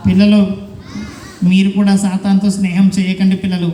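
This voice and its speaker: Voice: medium pitch, monotone, a little fast, warm tone, lightly acted. A puppeteer voicing an earnest, obedient, repentant boy puppet who preaches simply to children in a church puppet show. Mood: earnest, preachy. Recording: echoey hall, background noise.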